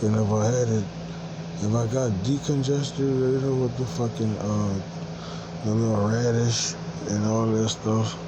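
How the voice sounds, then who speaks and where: A congested man with a stuffed-up nose, rambling in a halting rant full of fillers, restarts and swearing. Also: lowish voice, flat delivery, very noisy. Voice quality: quiet, hard to hear. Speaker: vlogger.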